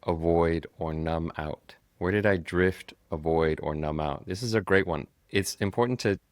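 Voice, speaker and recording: A man's voice: low voice, moderate pace, no echo, clean studio sound. A male podcast host giving an earnest, encouraging self-help talk while recovering from a cold and a sore throat. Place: traveling, recording on location.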